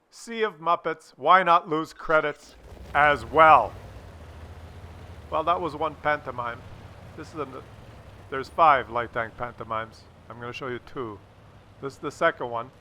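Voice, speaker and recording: A man with a bright, forward voice pitched a little high talks through video gameplay. He rambles conversationally with a mildly sardonic edge, over faint background noise. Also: slow, long pauses. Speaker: gameplay commentator. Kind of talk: casual video game commentary.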